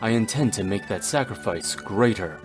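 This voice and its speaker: A man's voice: lowish voice, lively intonation, moderate pace, faint music behind, close to an everyday voice. A man voicing a stoic, cold and brooding warrior in a video game, with solemn, formal, terse delivery. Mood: grim, calculating. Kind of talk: dramatic video game voice acting.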